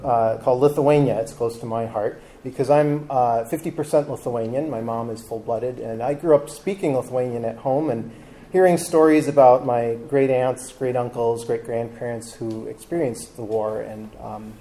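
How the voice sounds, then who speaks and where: A man speaks to a room full of listeners in an easygoing, slightly halting way, filling gaps with ums. His voice is warm and mellow, of middling pitch. A faint hum of the room sits behind him. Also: rough recording. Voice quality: relaxed, hesitant with frequent ums. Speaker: travel talk presenter. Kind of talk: casual live talk to an audience. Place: live talk before a seated audience with drinks.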